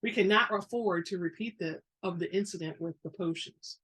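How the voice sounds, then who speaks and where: A woman voicing an old male wizard from a storybook, croaky, courteous and wry, with formal speech. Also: lowish voice, moderate pace, fairly bright tone, rough recording. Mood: quietly concerned.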